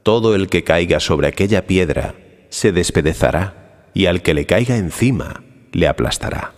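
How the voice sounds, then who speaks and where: Guy acting as a deep solemn holy teacher in a bible audio drama, slow-weighted authority, long echoing reverb. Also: expressive. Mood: stern, questioning. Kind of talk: dramatized scripture reading. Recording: long reverberant hall echo.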